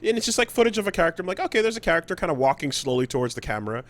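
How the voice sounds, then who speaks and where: A man's voice: a little high, very animated, very fast. A man co-hosting a video game podcast, chatting casually and acting out what he describes. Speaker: podcast co-host.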